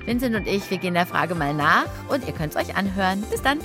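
A woman's voice: fairly low pitch, expressive, fairly quick. A woman presenter addressing children in an upbeat scripted podcast promo. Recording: clear music bed behind. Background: music.

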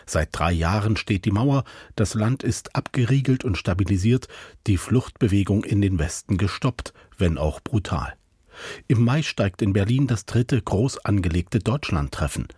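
A man's voice: on the low side, lively intonation, moderate pace, darkish tone, husky, no room sound, clean studio sound. A man reading scripted documentary narration as a radio reporter.

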